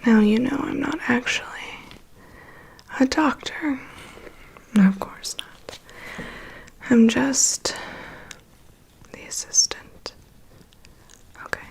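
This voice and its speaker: A woman playing a calm, prying vampire's assistant in an ASMR roleplay, murmuring dry asides and reassurance in a breathy whisper. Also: a little high, takes it slowly, pauses often, exaggerated voice, rough recording. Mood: attentive, clinical. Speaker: ASMR performer. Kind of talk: ASMR roleplay, personal attention.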